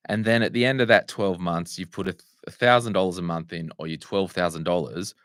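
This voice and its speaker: Croaky deep-voiced Australian man. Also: steady tone, fairly quick, a bit bright, no room sound, clean studio sound. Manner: conversational, restarts and asides while fixing tech. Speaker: host answering viewer questions. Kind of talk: casual livestream Q&A.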